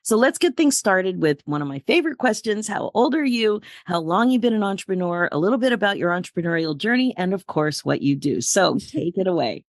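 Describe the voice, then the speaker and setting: A woman's voice: medium pitch, lively intonation, a little fast, hardly any pauses, no room sound, clean studio sound. A middle-aged woman hosting an interview podcast in an effusive, encouraging way. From New England, United States. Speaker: podcast host and life coach.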